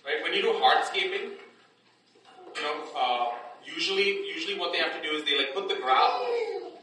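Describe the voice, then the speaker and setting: A man leads a Bible study in an echoey indoor room, his voice coming from some distance away. His tone is very bright and his pitch is middling. He talks in a loose, conversational way and keeps checking in with the group. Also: wildly swooping pitch, moderate pace, a little hiss or hum. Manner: conversational, frequent "right?" checks and restarts. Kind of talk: casual teaching, then spoken prayer. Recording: echoey room, distant mic, faint ambience.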